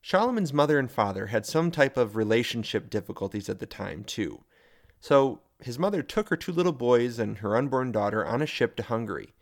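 A man who hosts the show, narrating a true story in a measured, scripted way that builds suspense. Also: medium pitch, lively intonation. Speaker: host and narrator.

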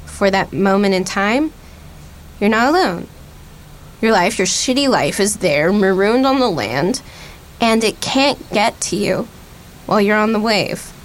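A woman's voice: medium pitch, expressive, measured pace, a little hiss or hum, close to an everyday voice. An American woman acting a guarded, defiant young girl, wounded but curious, in a naturalistic radio play. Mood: wistful.

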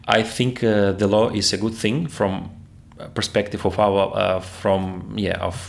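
A very low, gravelly male voice with a lot of fry. He is a young man from Ukraine who answers interview questions slowly, stopping and restarting often. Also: level tone. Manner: hesitant, many fillers and restarts. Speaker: theology PhD student interviewee.

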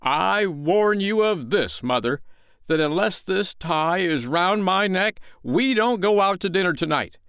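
A man's voice: high-pitched, animated, measured pace, a bit bright, clear, resonant tone, no room sound, studio quality, pitched above the performer's own voice, full character voice. A man voicing a pompous, sarcastic, dramatic husband and father in a storybook reading. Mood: stern, melodramatic. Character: Mister Darling, blustering, dramatic, self-pitying husband and father.

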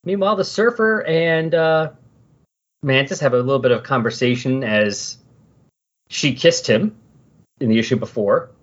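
A man's voice: medium pitch, expressive, moderate pace, long pauses. A man in a casual comic book discussion on a podcast, sometimes reading dialogue aloud. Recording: dull, lo-fi voice recording.

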